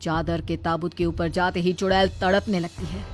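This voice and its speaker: Female storyteller for a cartoon horror tale, low register, music underneath. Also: level tone, normal speed. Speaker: narrator. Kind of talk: scripted story narration for a cartoon.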